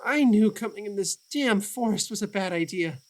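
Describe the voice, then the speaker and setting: A woman playing an anxious, self-doubting young male witch, high-strung and exasperated, in a fantasy audio drama. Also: expressive, normal speed, a little mellow, lightly acted. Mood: frustrated. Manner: panicky, stammering, exasperated.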